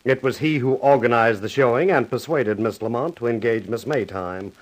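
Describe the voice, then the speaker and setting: An actor playing a haughty, cunning executive villain in a 1950s radio crime drama, speaking formally and precisely. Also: male voice, medium pitch, normal speed, natural voice, poor audio. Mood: accusing.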